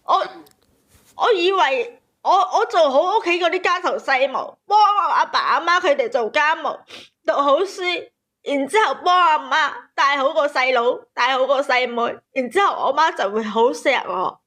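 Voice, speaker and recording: High voiced woman phoning in w her personal story, plaintive n kinda aggrieved. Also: lively intonation, moderate pace, a bit bright. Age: 30s. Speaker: caller.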